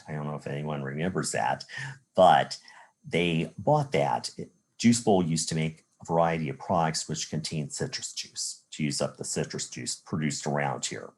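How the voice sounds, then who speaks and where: A man's voice: very deep voice, lively intonation, normal speed, darkish tone, breathy edge. A man from the Chicago area with an American accent, giving a recorded college lecture as an instructor, explaining examples methodically step by step. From Chicago area, United States. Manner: methodical, step-by-step explaining with rhetorical "well" and "again".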